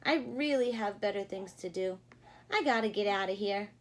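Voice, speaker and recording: A woman's voice: mid-range voice, normal speed, close to an everyday voice. A woman voicing an aloof, unimpressed male butterfly from a children's storybook in a cool, dismissive manner. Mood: cool refusal.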